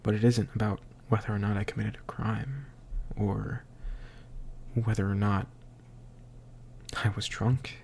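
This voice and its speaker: A slightly tipsy man recording a confessional audio diary, speaking in a halting, hushed, introspective way that often trails into a whisper. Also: on the low side, little pitch movement, creaky, slight background noise. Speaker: audio diarist.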